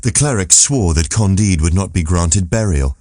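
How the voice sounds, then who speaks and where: A man reading a literary audiobook as narrator, in a dry, measured storytelling manner. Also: low voice, lively intonation, warm tone, dry recording.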